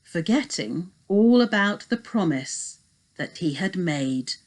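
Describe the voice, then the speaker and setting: Slow, expressive woman storyteller. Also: lowish voice. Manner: dramatic, deliberate. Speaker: teacher reading a story. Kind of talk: scripted storybook narration.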